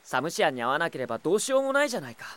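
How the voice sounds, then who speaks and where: An actor playing a gentle, idealistic and quietly resolute young prince in an earnest audio drama. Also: male voice, a little high, big pitch swings, rapid-fire, clear, resonant tone, no room sound. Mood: calm insistence. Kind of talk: earnest anime-style audio drama acting.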